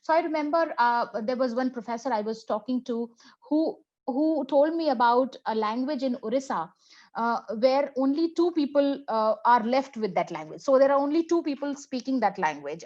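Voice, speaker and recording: Indian woman, English professor, conference talk, thoughtful and halting, muffled call audio. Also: fairly high pitch, a little mellow. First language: Hindi. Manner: reflective, halting, many fillers and restarts. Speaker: plenary speaker, English professor. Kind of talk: conference talk answering a question.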